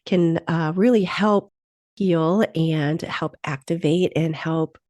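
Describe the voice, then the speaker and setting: A woman's voice: low voice, slow, a little mellow, no room sound, clean studio sound. A woman hosting a podcast, a little sniffly from a cold, who reads an earnest, enthusiastic introduction and then moves into casual interview chat. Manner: earnest, enthusiastic, with a few fillers and restarts. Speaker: podcast host.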